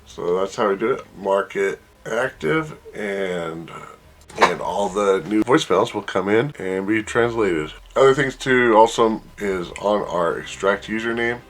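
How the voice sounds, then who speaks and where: A man presenting an informal software tutorial, thinking aloud as he works through each step. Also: on the low side, a little slow, a little hiss or hum. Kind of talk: casual screen-share walkthrough.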